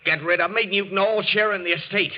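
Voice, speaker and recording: A man's voice: high voice, steady tone, quick pace, lightly acted. An actor in 1940s radio style playing a drunken, groggy, self-pitying man who stammers defensively. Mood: bitter, defiant. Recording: muffled, noisy old radio broadcast.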